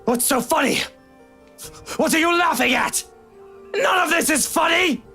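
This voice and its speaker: A woman voicing a protective, quick-tempered husband in a horror visual novel, with forceful, emphatic delivery. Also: high-pitched, vocal fry, soft background music, pitched above the performer's own voice, heavily acted. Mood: furious. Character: protective, angry husband confronting an intruder.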